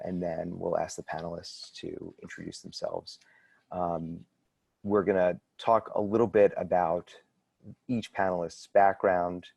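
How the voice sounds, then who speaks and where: A man moderating a panel, introducing topics in a halting way with many fillers. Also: low voice, creaky, dark tone, dry recording.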